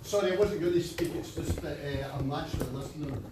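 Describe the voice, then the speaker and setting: A man's voice: fairly high pitch, a little fast. Rambling Scottish man in an echoey room. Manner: chatty, anecdotal, laughs at himself. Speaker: audience member. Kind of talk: rambling floor comment. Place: meeting room at a conference fringe event. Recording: echoey room, distant, faint noise.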